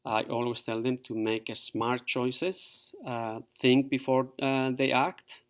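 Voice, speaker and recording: Middle-aged teacher, halting. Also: male voice, mid-range voice, flat delivery. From Peru. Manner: halting, many fillers. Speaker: fourth grade teacher. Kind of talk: virtual open house presentation to parents.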